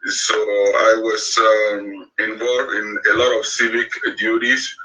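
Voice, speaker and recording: A Cameroonian man in his sixties speaking as an interview guest, slow and halting with many fillers. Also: lowish voice, little pitch movement, pushed and bright. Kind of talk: remote interview. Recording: distant, dull remote call audio.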